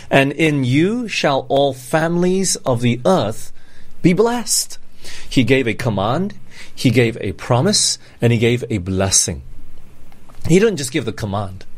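Male preacher, medium pitch. Conversational sermon delivery, emphatic, checks in with listeners through rhetorical questions. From born in Singapore, grew up in Malaysia, England and Australia.

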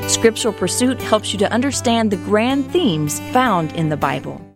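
A woman reads the opening of a radio program in a smooth, formal announcing style. Clear guitar music plays behind her. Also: medium pitch, animated, moderate pace. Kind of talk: scripted program intro.

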